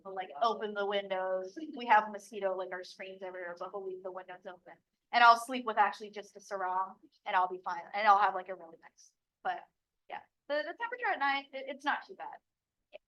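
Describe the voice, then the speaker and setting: A woman's voice: medium pitch, lively intonation, quick pace, a bit bright, lo-fi sound. A young woman from Bali giving casual, chatty advice as a local guide, with lots of "like" fillers. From Bali, Indonesia. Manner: chatty, many "like" fillers, laughs easily.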